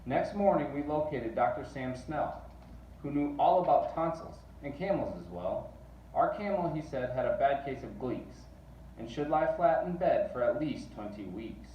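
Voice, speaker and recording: A man reading a children's storybook aloud in rhythmic verse with animated delivery. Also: medium pitch, normal speed, dull audio. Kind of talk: expressive storybook read-aloud. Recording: distant mic, roomy echo, faint noise.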